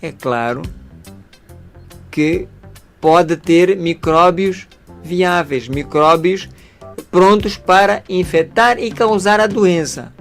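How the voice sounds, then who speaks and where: Slow-talking man explaining health matters. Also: high voice, creaky, faint music behind. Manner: didactic, pausing, seeks agreement with tag questions. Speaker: doctor, health expert.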